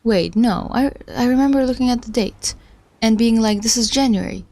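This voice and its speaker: A woman's voice: fairly high pitch, normal speed, a little mellow, no room sound. A woman from Iraq hosting a study livestream, thinking aloud and chatting with viewers in a musing, self-deprecating way. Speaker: livestream host studying along. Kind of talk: casual thinking aloud while following a lecture.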